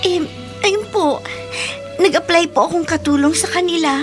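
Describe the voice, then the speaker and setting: Radio drama: earnest, troubled Filipina girl, piercingly high pitch, music underneath. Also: moderate pace. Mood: explaining. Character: earnest, distressed young Filipina daughter. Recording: clear music bed behind voice.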